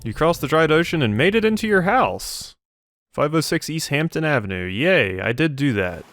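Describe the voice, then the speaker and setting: A man's voice: a little high, sing-song, normal speed, fairly bright tone. A man giving casual let's play commentary, musing aloud in a wry way as he goes through a video game.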